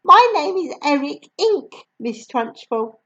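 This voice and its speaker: A bold little schoolboy from a storybook, as a woman puts him on, piping up in a very high, anxious voice. He speaks slowly and carefully, and the recording sounds dull and muffled. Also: big pitch swings, bright, forceful tone, exaggerated voice. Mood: nervous. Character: brave small schoolboy, cheeky and quick.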